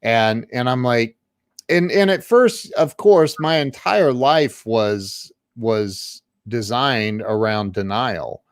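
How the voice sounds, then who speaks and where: A man's voice: mid-range voice, slow, clean tone, no echo, clean studio sound. A middle-aged man talking casually as an interview guest, rambling with many restarts and frequent laughs. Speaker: guest, entrepreneur.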